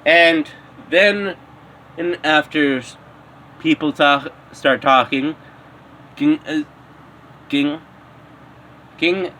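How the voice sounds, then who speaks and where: A man's voice: on the high side, very slow, pauses often, pushed and bright, slight background noise, rough recording. A man narrating improvised fan fiction, stumbling and repeating words as he goes. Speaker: storyteller.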